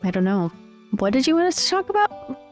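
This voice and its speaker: A woman's voice: high voice, sing-song, a little fast, a little mellow. A woman playing a curious, chatty young well-bred woman, talking informally and asking questions in improvised roleplay over music. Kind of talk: improvised in-character roleplay dialogue.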